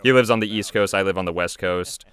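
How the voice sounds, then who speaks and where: A man's voice: low voice, fast talker, a bit bright. A young man giving casual, rambling commentary as an animator and voice actor, chatty and easily amused.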